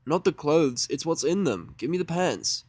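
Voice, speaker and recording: A man's voice: on the high side, sing-song, normal speed, darkish tone, slightly rough, no echo, pitched above the performer's own voice. A man playing an irritable, stubborn, sarcastic young student in a fan fiction audio drama, speaking bluntly and with exasperation. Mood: demanding.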